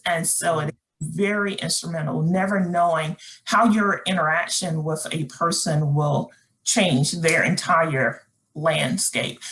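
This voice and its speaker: A middle-aged woman runs an online workshop, her voice sitting a little low. She talks in a loose, conversational way and often restarts her sentences as she reads out comments from the chat. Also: fairly bright tone, poor audio. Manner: conversational, with frequent fillers and restarts. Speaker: workshop presenter. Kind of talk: webinar presentation, reading chat comments aloud.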